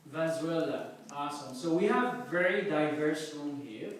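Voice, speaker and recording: A man's voice: on the high side, animated, normal speed. A man hosting a question and answer session with an audience, upbeat, recorded at a distance in a very echoey room. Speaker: host, moderator. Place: echoing conference room with an audience. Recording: very echoey room, distant mic, faint noise.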